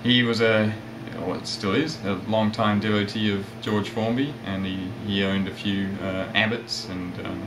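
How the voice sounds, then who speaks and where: Low, even-voiced man with a mixed accent. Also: normal speed, few pauses. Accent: mixed South African, English and Australian. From South Africa, with years in England and Australia. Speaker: interview guest, ukulele player and magazine editor. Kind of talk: casual interview chat. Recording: roomy echo, steady background noise.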